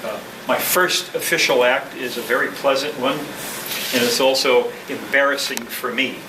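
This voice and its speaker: A man giving a modest, deliberate and halting informal speech as a club president at a lectern, picked up from a distance in a noisy room. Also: medium pitch, steady tone, reverberant. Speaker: newly elected club president.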